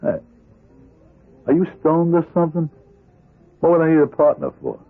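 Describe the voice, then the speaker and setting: An actor playing a weary, guarded middle-aged ex-convict in a radio drama, terse and blunt, with an American accent. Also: male voice, on the high side, moderate pace, creaky, phone-quality sound, exaggerated voice. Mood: suspicious. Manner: terse, flat-worded, guarded, world-weary. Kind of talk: old-time radio drama acting. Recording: band-limited radio drama with music.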